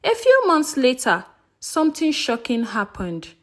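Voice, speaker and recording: A woman narrating a folktale as a storyteller, in a dramatic, expressive scripted style. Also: sits high, unhurried.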